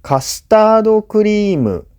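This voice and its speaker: Slow, mellow male narrator. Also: high voice, big pitch swings. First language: Japanese. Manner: measured, clearly phrased explanatory delivery. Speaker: language teacher reading listening practice. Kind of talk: scripted educational narration.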